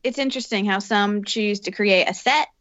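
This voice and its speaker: A woman's voice: mid-range voice, normal speed, a bit bright, no room sound. A woman co-hosting a podcast, talking in a thoughtful, rambling way. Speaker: podcast co-host. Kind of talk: casual podcast chat. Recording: dry, slightly dull sound.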